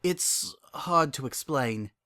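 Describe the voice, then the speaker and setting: A narrator voicing a sorrowful, world-weary pony father in a dramatic story, halting and hollow in delivery. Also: mid-range voice, expressive, bright, forceful tone, dry recording, clean studio sound. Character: guilt-ridden, weary old time-traveling stallion father.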